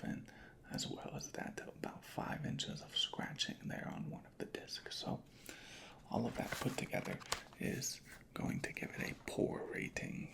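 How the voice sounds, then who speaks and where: A soft-spoken ASMR creator murmuring methodically in a breathy, whispery voice, unhurried and close to the microphone. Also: mid-range voice. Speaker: ASMR creator playing a record inspector.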